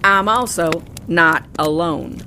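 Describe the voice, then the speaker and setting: An actress playing a bold, wry frontier scout with a folksy drawl in a western audio drama. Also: female voice, on the low side, takes it slowly, pushed and bright, slight background noise, heavily acted. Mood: wry menace.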